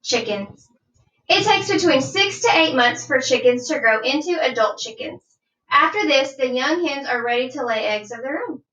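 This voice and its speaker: A woman reading a nonfiction children's book aloud like a teacher, deliberate and instructive, with questions to her young listeners. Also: high-pitched, expressive, a bit bright. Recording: dull, roomy sound, distant from mic.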